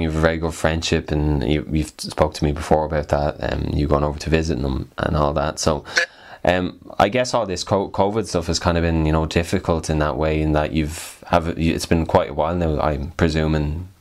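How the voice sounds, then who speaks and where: A man's voice: very deep voice, flat delivery, moderate pace. A man hosting a casual interview, asking long questions in a hesitant, rambling way. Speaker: podcast host, interviewer.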